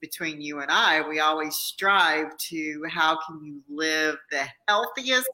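A woman's voice: sits low, takes it slowly, sharp tone, low-quality recording. A woman hosting a podcast, asking her guest questions in an encouraging way. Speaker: podcast host. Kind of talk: casual interview chat.

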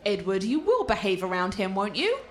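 A woman's voice: mid-range voice, sing-song, brisk, slight background noise, lightly acted. A woman playing a cultured, confident art scholar and teasing fiancée in a radio play, articulate and playful. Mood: playfully chiding.

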